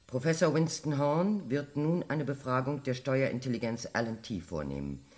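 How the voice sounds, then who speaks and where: A man's voice: medium pitch, animated, moderate pace, darkish tone, close to an everyday voice. An actor playing a formal, officious chairman of an official hearing in a radio drama.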